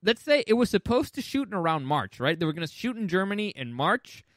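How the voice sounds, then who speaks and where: A man's voice: high-pitched, sing-song, brisk, fairly bright tone, dry recording. A man hosting a casual movie chat, opinionated and emphatic, swearing freely. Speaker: podcast host.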